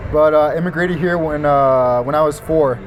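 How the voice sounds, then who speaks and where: Man from Mexico, hesitant interviewee, higher voice, light background noise. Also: moderate pace. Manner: hesitant, many uhs. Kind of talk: casual interview.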